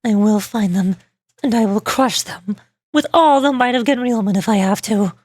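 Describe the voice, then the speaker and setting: A woman's voice: mid-range voice, moderate pace, darkish tone, lightly acted. Woman putting on a stern, commanding female officer. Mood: indignant, severe. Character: stern, indignant, strict female officer of a gang.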